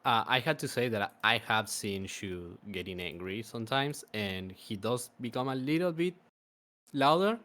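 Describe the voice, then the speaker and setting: A man with a fairly low voice who speaks as a talks loudly and chattily, dropping laughing asides as he goes. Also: lively intonation, moderate pace. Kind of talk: casual gaming chat.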